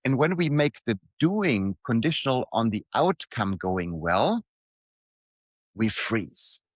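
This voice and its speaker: A man delivers a reflective motivational monologue in a mid-pitched voice with very lively intonation. He speaks in deliberate, short phrases and repeats patterns for emphasis. The sound is dry, with no room around it. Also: dry recording. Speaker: writer reading own newsletter aloud.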